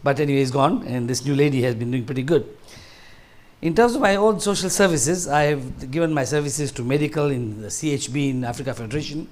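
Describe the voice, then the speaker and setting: A man's voice: medium pitch, a little fast. A man in his sixties from Tanzania, giving a conversational talk to a seniors group in a large hall, rambling and restarting his sentences. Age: 60s. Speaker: guest speaker.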